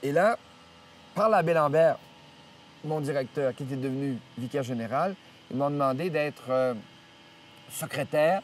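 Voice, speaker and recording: A man's voice: medium pitch, distant mic, slight background noise. Older man, reminiscing. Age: 70s. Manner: rambling, many hesitations and restarts, lively retelling of dialogue. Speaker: priest interviewed about his life. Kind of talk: storytelling interview. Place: outdoors in nature.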